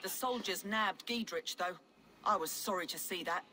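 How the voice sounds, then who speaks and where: An actress playing a suspicious, hard-nosed medieval village alewife in a game cutscene, with a curt, colloquial manner. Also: female voice, medium pitch, moderate pace, pushed and bright, lightly acted. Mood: annoyed. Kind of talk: dramatic game cutscene acting.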